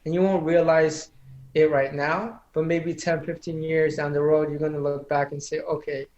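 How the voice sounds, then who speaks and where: An earnest man whose voice sits a little high, giving motivational advice as a podcast guest. He speaks in a speech-like, encouraging way, and English is not his first language. The sound is echoey, as if he is in a bare room. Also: flat delivery, moderate pace, a little mellow, lo-fi sound. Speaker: interview guest, public speaking coach. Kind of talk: casual interview chat.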